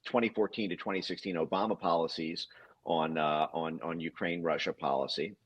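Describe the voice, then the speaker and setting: A man with a deep, husky voice talks politics as an interview guest. He goes on at length in a halting way, stammering over repeated words. The audio is dull and lo-fi. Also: fairly even intonation. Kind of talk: political commentary in conversation.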